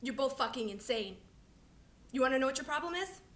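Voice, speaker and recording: A woman's voice: high-pitched, animated, fairly quick. A young female student actor playing a brash, fed-up woman in a blunt, sarcastic, scolding monologue, recorded in an echoey room. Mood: blunt, scornful. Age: young adult. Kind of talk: performed film monologue.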